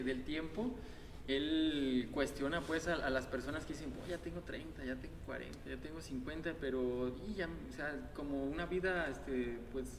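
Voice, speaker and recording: A man speaking as a guest on a reflective podcast, earnest and hesitant, with frequent fillers. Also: on the high side. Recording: echoey hall with clear background noise.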